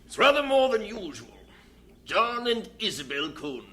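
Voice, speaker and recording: Fretful, very bright-voiced missionary superintendent. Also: male voice, high voice, big pitch swings, a little slow, slight background noise, full character voice. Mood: troubled. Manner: blunt, fussy, formal. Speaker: actor in an audio drama. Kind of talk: audio drama dialogue.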